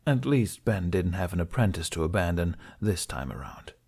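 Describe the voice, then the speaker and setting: A man's voice: low voice, sing-song, moderate pace, darkish tone, slightly rough. A man reading scripted story narration as an audiobook narrator.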